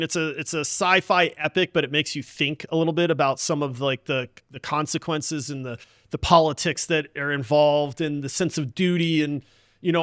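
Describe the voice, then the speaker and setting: A man hosting a gaming podcast in casual chat, excitable and slangy, often calling people dude. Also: a little high, smooth, no echo, studio quality.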